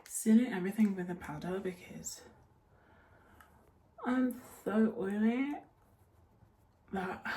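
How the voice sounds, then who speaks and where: A woman's voice: lowish voice, dark tone. A young woman with a Birmingham accent and a slightly nasal voice, chatting casually to camera as a vlogger getting ready. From Birmingham, England. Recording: clearly noisy room background.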